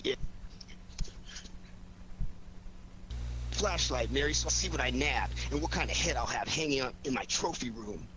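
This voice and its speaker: An actor playing a cocky, wisecracking amateur detective in a 1940s radio drama, with glib, slangy delivery. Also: male voice, mid-range voice, animated, brisk, fairly bright tone, close to an everyday voice. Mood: gloating. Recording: noisy old 1940s radio recording.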